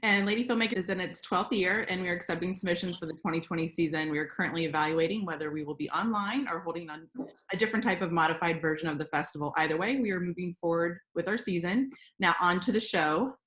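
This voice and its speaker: Female event host, slightly low voice, presenting an online festival program. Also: fast, fairly bright tone.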